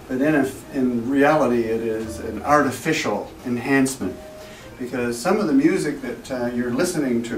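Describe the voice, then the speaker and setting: A man giving an informal, technical product presentation as a company representative, explaining things in a measured, slightly formal way. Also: mid-range voice. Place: echoey indoor trade show demo room. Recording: roomy, distant mic, music in background.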